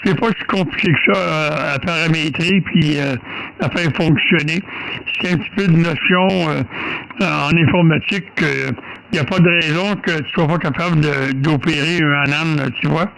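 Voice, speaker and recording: Higher-pitched Québécois man with a creaky voice. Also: a bit bright, rough recording. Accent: Quebec French. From French-speaking Canada. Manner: halting, searching for words, repeats himself. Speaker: amateur radio operator. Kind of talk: casual explanation on a ham radio contact.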